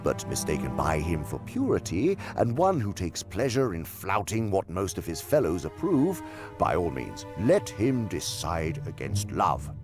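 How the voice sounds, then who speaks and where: An actor playing a senior demon in a radio drama, a sly, imperious mentor who speaks pompously and savors each word with theatrical emphasis in a gravelly voice. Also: male voice, deep voice, very animated, unhurried, over music, heavily acted. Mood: cold scheming.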